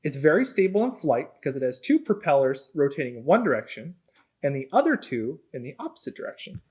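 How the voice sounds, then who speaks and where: A man's voice: on the high side, very animated, a little fast, darkish tone, slightly rough. A man in his thirties reading a children's book aloud in a workshop. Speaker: robot combat team member. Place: robot workshop, indoors.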